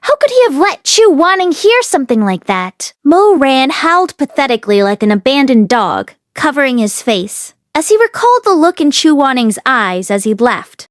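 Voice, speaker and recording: A woman narrating a novel aloud as an expressive read-along host. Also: sits high, moderate pace, hardly any pauses, dry recording, clean studio sound.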